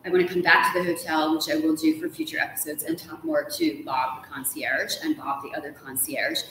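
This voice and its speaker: A woman's voice: low voice, sing-song, a little fast, a bit bright. A middle-aged woman chatting casually as an interview guest, gushing and talkative, often saying "like". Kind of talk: animated casual conversation. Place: large hotel room, indoors. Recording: roomy echo with background noise.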